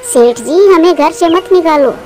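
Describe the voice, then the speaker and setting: A woman's voice: extremely high pitch, a little slow, warm tone, faint music behind. A woman voicing a poor widowed mother bird in a cartoon, humble and plaintive. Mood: pleading. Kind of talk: Hindi cartoon dialogue.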